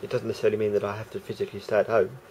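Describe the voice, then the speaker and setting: A man with a croaky voice talking casually to camera as a vlogger, rambling and hesitant with many fillers and restarts. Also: on the low side, little pitch movement, racing, a little mellow, a little hiss or hum, low-quality recording.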